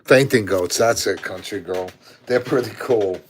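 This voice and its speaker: A man's voice: fairly low pitch, unhurried, slight background noise. A man in his sixties from Brooklyn, New York, with a Brooklyn accent, chatting casually on a live stream, answering viewer comments aloud and chuckling often.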